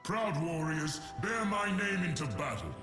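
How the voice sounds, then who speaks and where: An imperious desert god-emperor from a video game, grandiose and commanding. The voice is fairly high and sweeps widely in pitch, echoing over music. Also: male voice, expressive, unhurried. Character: proud, imperious resurrected male god-emperor of a desert empire. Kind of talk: theatrical video game voice lines.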